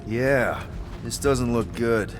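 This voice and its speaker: An actor playing an easygoing, boyish space-adventurer team leader in a video game, talking in a casual, expressive way. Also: male voice, medium pitch, slow, close to an everyday voice. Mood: determined.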